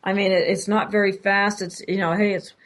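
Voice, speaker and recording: A woman with a mid-pitched voice hosts a radio talk show. She chats with her guest in an easy, agreeable way. She often stumbles and repeats words before getting them out. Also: little pitch movement, a little fast. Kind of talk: casual radio interview chat.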